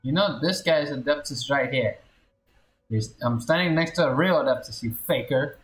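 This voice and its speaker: A woman's voice: a bass voice, moderate pace. A woman streamer giving casual reaction commentary while playing a game. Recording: roomy echo, game music behind. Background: faint game music.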